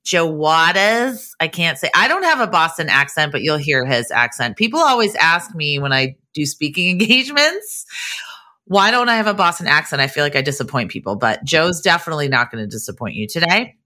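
A middle-aged American woman with a low-set voice and a bright, forward edge. She speaks as an upbeat podcast host, articulate and encouraging. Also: sing-song, a little fast.

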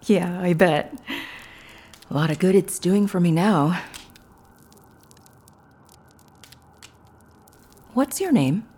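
An actress playing a stubborn, wry woman in a stage play who jokes through her fear, with a husky voice and a rambling manner. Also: on the low side, lively intonation, a little slow, dark tone. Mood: dry, subdued.

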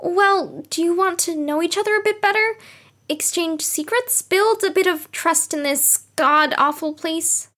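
A woman's voice: extremely high pitch, measured pace, a bit bright. A woman playing an earnest, nosy young woman, a little defensive, in an audio drama. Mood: probing, friendly.

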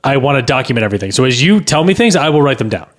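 A man of average pitch banters casually on a comedy podcast. He talks with emphatic mock confidence and gets defensive about his own work. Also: big pitch swings, rapid-fire. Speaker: podcast co-host and amateur screenwriter.

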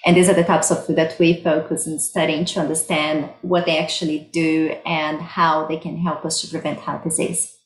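Brazilian accent woman researcher answering interview questions, slightly low voice, bit echoey. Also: level tone, normal speed. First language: Portuguese. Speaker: guest, medical researcher. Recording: roomy, echoey sound.

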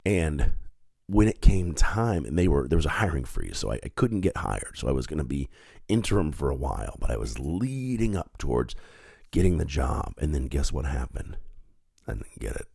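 A man's voice: very deep voice, big pitch swings, normal speed, gravelly, dark tone, slightly rough, no echo, clean studio sound. A man hosting his own podcast, stuffed up with a head cold, talking in an earnest, reflective and conversational way. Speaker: podcast host. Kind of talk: solo podcast monologue.

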